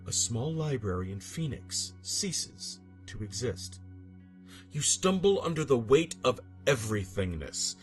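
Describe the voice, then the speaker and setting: A man giving a solemn, theatrical and deliberate scripted narration of a strange horror story. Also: mid-range voice, very animated. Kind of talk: dramatic scripted creepypasta narration. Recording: music bed behind the voice. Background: background music.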